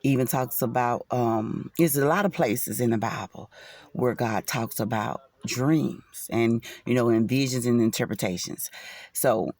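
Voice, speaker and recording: A man with a stuffy nose talks to his followers in a gravelly, creaky voice, earnest and a little preachy. He leans on fillers and keeps saying "you know." The sound is close and dry, with no room around it. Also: medium pitch, a little slow, darkish tone, no echo. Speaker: faith vlogger. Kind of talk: casual spiritual talk to camera.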